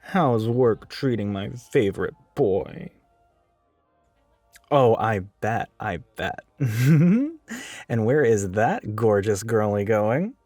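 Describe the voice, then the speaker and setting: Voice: medium pitch, lively intonation, slow, a little mellow, no room sound. Performer doing a bossy flirty scheming store coworker, sarcastic, really swoopy voice, close dry mic w music behind. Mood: flirty, sweet. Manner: sarcastic, teasing, swinging from barking to cooing. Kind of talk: acted audio roleplay monologue to listener.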